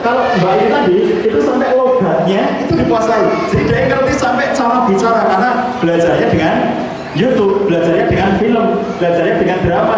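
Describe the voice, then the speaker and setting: A man with a Javanese accent giving a conversational parenting seminar talk, speaking directly to the audience. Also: high-pitched. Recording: echoey hall, distant mic, background noise.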